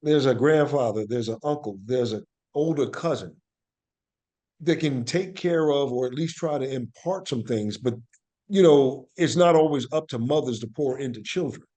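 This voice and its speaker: A man's voice: mid-range voice, moderate pace, warm tone. A man speaks as a guest in a conversational interview, with a mid-range voice. He explains things earnestly and reflectively, repeating phrases to drive his points home. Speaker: interview guest, actor and playwright.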